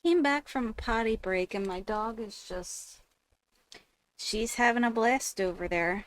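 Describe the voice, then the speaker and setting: A woman's voice: a little high, unhurried, low-quality recording. A woman streaming a video game, giving casual commentary and thinking aloud as she plays. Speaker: gaming streamer. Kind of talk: casual let's play commentary. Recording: game music behind the voice.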